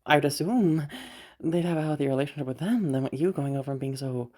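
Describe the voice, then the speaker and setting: A woman playing a teasing, self-assured, sardonic yet protective young woman in a listener roleplay, rambling and halting as she talks. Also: low voice, darkish tone. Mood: teasing, reasoning. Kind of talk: roleplay audio drama addressed to the listener.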